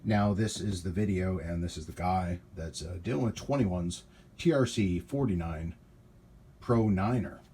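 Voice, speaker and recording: A man with a low voice gives a casual hands-on demonstration as host of a repair channel. His delivery is informal and enthusiastic. There is light background noise from the workshop room. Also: measured pace, mellow. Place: small indoor home workshop. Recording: noisy room ambience on camera mic.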